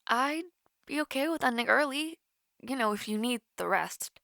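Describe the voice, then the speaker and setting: A woman's voice: on the high side, expressive, moderate pace, gravelly, dry recording, studio quality, exaggerated voice. A young woman playing a shy, caring college student with a crush in an intimate audio roleplay, hesitant and easily flustered. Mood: considerate, fond. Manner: hesitant, flustered, soft-hearted, giggly. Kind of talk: intimate romantic audio roleplay, one-sided call.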